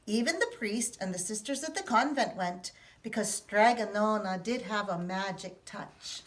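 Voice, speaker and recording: Bright-voiced woman reading a picture book. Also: a little high, rough recording. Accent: put-on Italian. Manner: lively, expressive storytelling.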